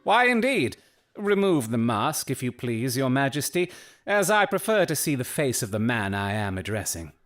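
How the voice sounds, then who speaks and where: A man playing a shrewd, urbane gentleman detective in an audio drama, speaking formally and precisely with dry, theatrical irony. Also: medium pitch, big pitch swings, moderate pace. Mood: dry, commanding.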